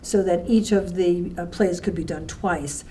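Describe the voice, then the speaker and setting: A woman recalling her work as a festival co-founder in a conversational documentary interview, haltingly searching for words. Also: fairly low pitch, mellow. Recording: distant mic with background noise.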